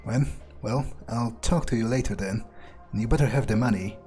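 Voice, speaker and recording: A man role-playing a cocky, menacing vampire as he narrates his video game playthrough in a medium-pitched voice. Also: fairly quick, a little mellow, faint music behind, close to an everyday voice. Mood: cool menace. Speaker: let's player.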